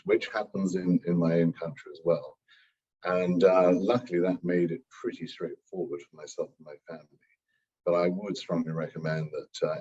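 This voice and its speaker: A man with a very deep, mellow and husky voice answers an interview in measured, formal phrases. The recording sounds dull and lo-fi. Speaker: business development executive.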